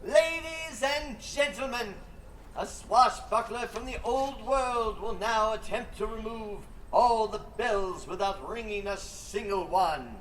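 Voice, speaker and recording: A man's voice: high voice, slow, fairly bright tone. An actor playing a showy male festival announcer in a fantasy audio drama, announcing grandly. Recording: echoing, faint noisy ambience.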